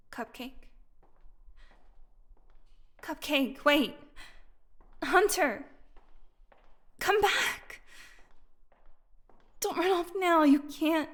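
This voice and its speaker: A starving vampire woman held captive, acted out by a woman in an intimate audio drama. Her fairly high voice moves slowly and haltingly, often thinning into a breathy whisper. She is sardonic and proud, with desperation underneath. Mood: pleading, haunted, then desperate shouting.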